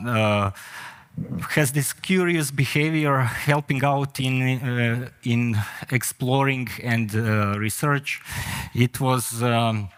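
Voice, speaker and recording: A man's voice: mid-range voice, fairly bright tone, smooth. A middle-aged man from Croatia with a Croatian accent, giving a conference talk with many hesitant fillers. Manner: hesitant, frequent "uh" fillers, non-native phrasing. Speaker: conference speaker. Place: conference hall.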